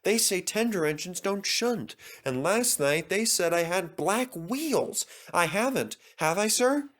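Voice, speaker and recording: A man voicing a meek, gentle, polite male steam engine with a timid, deferential manner in a children's story. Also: sits high, lively intonation, unhurried, voice pushed up. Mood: hurt.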